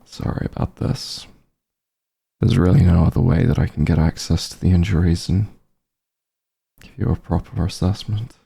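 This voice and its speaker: A man playing a calm, caring back-room doctor who gently scolds an old friend in a softly spoken ASMR roleplay, soothing with dry bluntness. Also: exaggerated voice. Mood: focused, gentle. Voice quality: low, gravelly, husky. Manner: soothing, unhurried bedside reassurance with dry bluntness.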